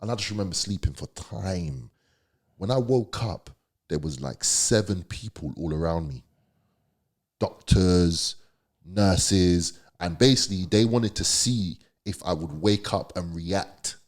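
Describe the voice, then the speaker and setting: Male podcast guest, London accent, deep low voice, slangy and emphatic storytelling. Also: a little slow. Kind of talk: candid storytelling.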